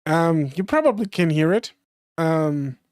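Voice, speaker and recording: A hoarse streamer reading a classic novel aloud, slowly and carefully, with small slips. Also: mid-range voice, expressive, darkish tone, dry recording, clean studio sound. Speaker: streamer and reader.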